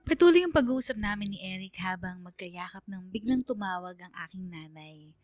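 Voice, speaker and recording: A woman's voice: mid-range voice, lively intonation, brisk, faint music behind, natural voice. A woman voicing an anxious young Filipino student narrating his story in a radio drama. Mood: anxious, reflective.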